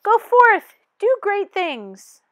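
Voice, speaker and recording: A woman voicing a brisk, encouraging teacher of a class of teeth in a storybook, projecting instructively. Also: very high-pitched, sing-song, no echo, lo-fi sound, voice pushed up, full character voice.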